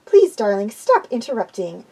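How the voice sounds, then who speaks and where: A woman's voice: medium pitch, very animated, normal speed, warm tone. A woman voicing a refined, fussy female unicorn pony who speaks in a posh, prim way.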